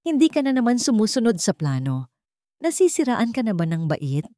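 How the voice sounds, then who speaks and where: A woman's voice: fairly high pitch, big pitch swings, moderate pace, dark tone, no echo, pitched above the performer's own voice. Lady narrator doing a nasty rival woman in a romance story, mocking and haughty, dry close sound. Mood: outraged. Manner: mocking, confrontational. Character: scheming, taunting, haughty female rival. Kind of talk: dramatic audio storybook.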